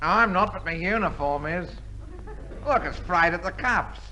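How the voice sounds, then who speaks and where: A man's voice: sits high, measured pace, pushed and bright, exaggerated voice. A man playing a hapless, droll, overweight army recruit with a plaintive delivery in a 1950s radio comedy. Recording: noisy 1950s radio recording.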